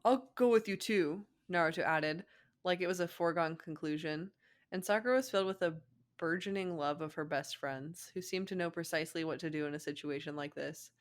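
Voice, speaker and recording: A young woman narrates fan fiction as a co-host. Her voice sits a little low for a woman. The style is informal story reading with room for casual asides.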